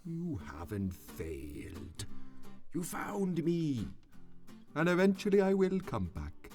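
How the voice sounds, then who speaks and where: A man plays an affectionate, excitable sun in a folktale radio drama. His delivery swoops up and down playfully and takes its time, rambling fondly, with faint music underneath. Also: a little high, slow pace, heavily acted. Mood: tender. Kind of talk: family radio play acting.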